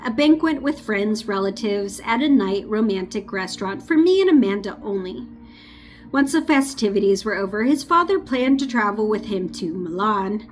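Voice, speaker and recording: A woman reading a true-crime book aloud in a casual, unpolished way. She stumbles over names, restarts lines, and breaks off for chatty asides. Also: a little high. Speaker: audiobook reader. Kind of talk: amateur audiobook reading with asides.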